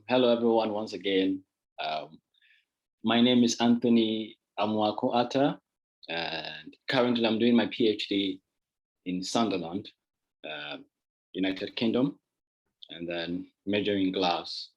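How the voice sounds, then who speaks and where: A man from Ghana speaks in a fairly low voice, talking through his story in an easy, conversational way with plenty of fillers. His voice comes over a video call with dull, lo-fi sound. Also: a little slow. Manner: relaxed, conversational, many fillers. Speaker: guest artist presenter. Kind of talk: casual presentation on a video call.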